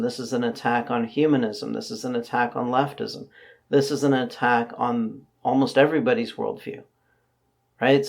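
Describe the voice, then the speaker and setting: A man with a hoarse voice, hosting his channel and answering viewer comments in casual, measured talk, pausing often to restart his sentences. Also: mid-range voice. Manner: measured, analytical, with frequent fillers and restarts.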